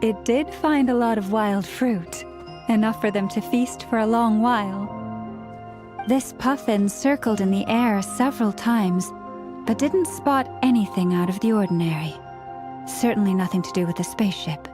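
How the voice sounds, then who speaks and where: A woman playing a gentle, composed young woman in a video game, speaking in a measured, formal, polite way. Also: medium pitch, music in the background, natural voice. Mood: calm, explaining.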